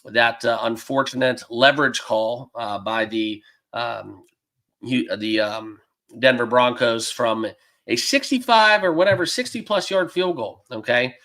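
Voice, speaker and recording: A man hosting a sports betting livestream, slightly hoarse as he loses his voice, talking loosely with many fillers and greeting viewers by name. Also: medium pitch, unhurried, pushed and bright. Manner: loose and rambling, lots of fillers. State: losing his voice, a bit hoarse. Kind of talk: casual livestream chat. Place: dedicated home studio room.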